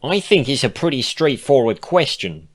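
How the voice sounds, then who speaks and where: Male reader as female cartoon pony, raised pitch, earnest, nosy, emphatic, pleading, storybook dialogue. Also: big pitch swings, moderate pace. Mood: firm. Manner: emphatic, pressing, coaxing.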